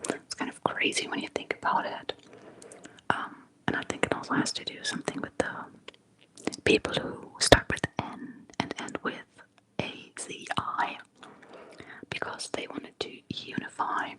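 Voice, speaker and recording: An ASMR streamer from Germany, talking in a soft, unvoiced whisper with many fillers during a live stream at home. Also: a little slow, pauses often, poor audio. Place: apartment at home. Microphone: close microphone.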